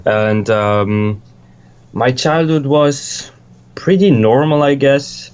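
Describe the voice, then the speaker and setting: A man's voice: medium pitch, slow pace, a little mellow, smooth, lo-fi sound. A young man from Switzerland, a music producer, chatting casually in an interview, rambling and swearing freely with lots of restarts.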